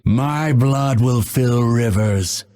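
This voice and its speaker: An actor voicing a grim, vengeful male assassin in a video game, husky and gravelly, with measured, solemn, dramatic delivery. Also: medium pitch, mellow. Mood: grim, controlled. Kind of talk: scripted game character voice lines.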